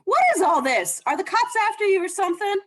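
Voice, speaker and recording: A woman's voice: extremely high pitch, big pitch swings, fast, music in the background. A woman playing a skeptical, fed-up wife in an old-time radio drama, sardonic and questioning.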